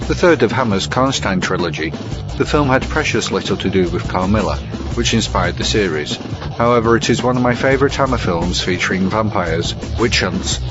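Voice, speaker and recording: A man presenting scripted film reviews as a narrator. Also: on the low side. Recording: dull lo-fi with music behind. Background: music.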